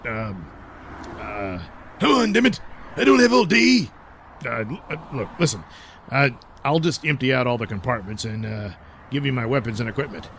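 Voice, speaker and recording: A man's voice: mid-range voice, very animated, moderate pace, a bit bright, a little hiss or hum. An actor playing a flustered, out-of-shape male superhero who stammers and blusters. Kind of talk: comedic audio play acting.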